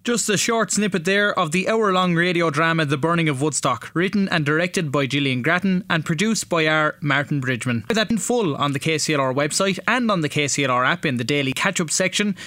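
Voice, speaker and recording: A man's voice: fairly high pitch, a little fast, hardly any pauses, pushed and bright, no room sound. An Irish man presenting a radio programme in a scripted, conversational host style. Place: radio studio.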